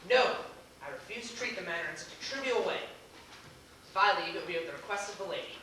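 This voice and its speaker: A man's voice: sits high, animated, quick pace, bright, forceful tone. A young man acting an earnest, indignant suitor in a stage comedy, with an emphatic delivery. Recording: echoey theater, distant camera, faint hiss.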